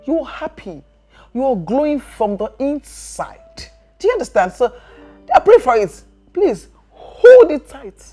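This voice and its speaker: A dramatic female best friend, played by a woman, teasing and easily outraged. Her pitch swings widely and sits a little high. Soft music runs faintly underneath. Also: a little slow, full character voice. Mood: advising. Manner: teasing, dramatic, animated outbursts. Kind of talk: skit drama acting.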